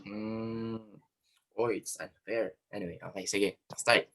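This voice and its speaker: Chatty Filipino teacher on a video call. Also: male voice, lowish voice, steady tone, takes it slowly, bright, forceful tone. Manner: chatty, switches between Filipino and English, many fillers. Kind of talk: online class, casual instructions.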